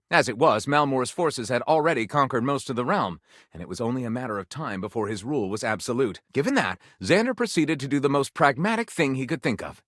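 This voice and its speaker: An actor playing a melodramatic, theatrical storyteller in a video game, narrating pulpy adventure tales with suspenseful pauses. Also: male voice, medium pitch, fairly bright tone, dry recording, clean studio sound. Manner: theatrical, overwrought, suspenseful delivery with dramatic pauses.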